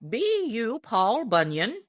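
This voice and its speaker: A woman's voice: a little high, huge pitch swings, slow, no room sound, clean studio sound, voice pushed up, full character voice. A woman playing a very old, shrewd country deacon in a storybook, piping and folksy in an old-timer's manner. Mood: folksy, reminiscing. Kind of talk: storybook character voice in audiobook reading.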